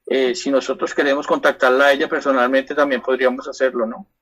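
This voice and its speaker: A man's voice: medium pitch, flat delivery, quick pace, a bit bright, lo-fi sound. A Colombian man organizing a video call meeting, joking with fillers. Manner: joking, laughing, filler-heavy. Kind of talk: casual chat on video call.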